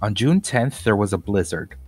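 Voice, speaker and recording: A male teacher narrating a children's book in a steady, plain manner. His voice sits on the low side. The sound is dry and close, with soft music behind him. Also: normal speed, slightly rough, no room sound. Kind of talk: reading a children's book aloud.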